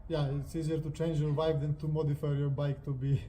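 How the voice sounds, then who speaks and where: A man's voice: fairly high pitch, flat delivery, a little fast, dark tone, a little hiss or hum. A man with a Serbian accent hosting a motorcycle review in casual, unscripted vlog talk.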